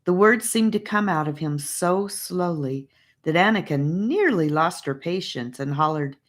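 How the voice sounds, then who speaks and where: A woman reads a children's fantasy story aloud as an audiobook narrator. Her voice is low and unhurried, with expressive, widely varied intonation that suits storytelling.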